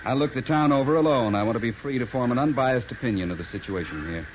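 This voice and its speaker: Man acting an officious government inspector, mid-pitched, formal, clipped, 1950s radio western, muffled noisy recording. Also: fast talker, natural voice.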